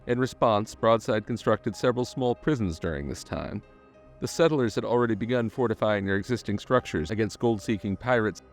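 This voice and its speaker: A man reading scripted documentary-style narration in a measured, articulate storytelling manner. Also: on the low side. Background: background music.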